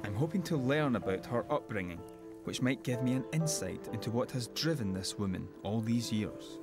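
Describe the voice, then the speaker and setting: A man's voice: medium pitch, animated, moderate pace. A man reading scripted narration as the reporter in a television documentary. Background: loud music.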